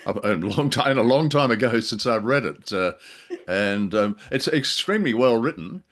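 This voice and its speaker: A man's voice: medium pitch, animated, normal speed. A man co-hosting a podcast, chatting casually about old radio drama in a wry, talkative manner. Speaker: podcast co-host.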